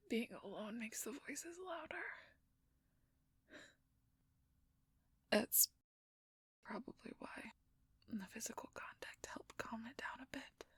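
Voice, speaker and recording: A woman playing a wounded, protective but gruff female werewolf knight in an intimate audio roleplay, husky and halting, often dropping into a whisper. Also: fairly high pitch, animated, fairly bright tone, no echo, exaggerated voice. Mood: weary, haunted. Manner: halting, strained delivery with sighs.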